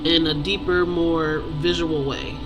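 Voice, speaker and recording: An author speaking reflectively and earnestly to viewers in short, halting phrases with pauses. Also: mid-range voice, fairly even intonation, poor audio. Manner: earnest, inspirational. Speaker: author and creator introducing own book. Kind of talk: personal, reflective talk to viewers about own book. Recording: loud music behind the voice. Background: music.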